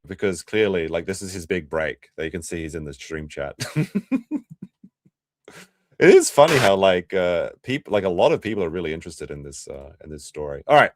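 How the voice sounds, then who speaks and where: A man's voice: low-pitched, big pitch swings, fairly quick, no room sound. An Australian man hosting a casual livestream, chatty and sarcastic, reacting to clips and laughing often.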